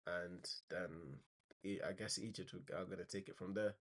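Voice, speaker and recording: A man's voice: low voice, steady tone, normal speed. A man with a slight cold and a bit nasal, casually running through football news in a rambling way with many fillers and restarts. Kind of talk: casual spoken news roundup.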